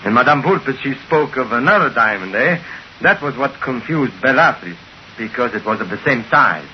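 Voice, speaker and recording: An actor playing a frantic, suspicious diamond owner who speaks broken English in an old radio drama. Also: male voice, normal speed, natural voice. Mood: confiding. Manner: excitable, shrill, broken English. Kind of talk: 1940s radio acting. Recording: hissy, muffled old radio recording.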